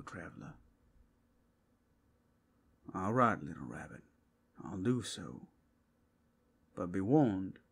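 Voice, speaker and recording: Man playing a wry, gravelly, easygoing werewolf. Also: on the low side, exaggerated voice. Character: wry, easygoing male werewolf, reluctant to fight. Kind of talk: ASMR roleplay acting.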